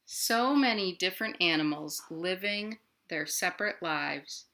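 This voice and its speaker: American lady doing kids storytime, bright voice, slow pace, expressive, talks right to the little ones. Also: fairly low pitch, slight background noise, low-quality recording. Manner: expressive, addressing children directly with questions. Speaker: children's librarian and storytime host. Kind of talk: animated picture-book read-aloud and chat for young children. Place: indoors at her home.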